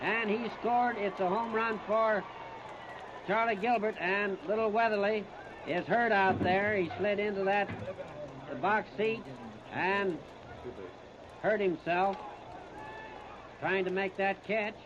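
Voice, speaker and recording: A man's voice: high-pitched, steady tone, slow pace, long pauses. An American man calls live baseball play-by-play on the radio in short, plain-spoken, folksy phrases. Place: outdoor ballpark. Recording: noisy, muffled 1930s radio broadcast recording.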